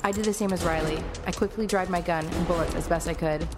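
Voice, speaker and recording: A woman's voice: lowish voice, steady tone, fast talker, natural voice. A woman playing an alien-fighting heroine who narrates an audio drama in the first person. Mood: tense, suspenseful. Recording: loud music bed behind the voice.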